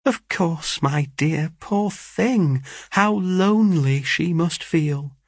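A man voicing a doting, easily shocked middle-aged mother in an audiobook, gentle and genteel. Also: fairly high pitch, very animated, slow, husky, dry recording, clean studio sound, pitched above the performer's own voice, heavily acted. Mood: sympathetic. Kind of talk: dramatised audiobook reading of a classic novel.

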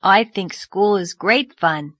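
A woman's voice: medium pitch, slow pace, a bit bright, slightly rough, no echo. A woman voicing an earnest, chatty six-year-old boy with childish grammar, in a storybook reading. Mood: pleased, confiding. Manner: childish grammar and clipped words.

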